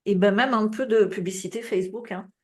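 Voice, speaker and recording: A woman's voice: mid-range voice, fairly even intonation, a little fast. A French-speaking woman in the middle of her range gives advice in a loose, conversational flow. She leans on fillers and keeps checking in with the listener, and her voice sounds slightly tired and prone to coughs. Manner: casual, advising, many fillers and tu vois. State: coughing, voice tiring. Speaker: business coach. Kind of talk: group coaching call.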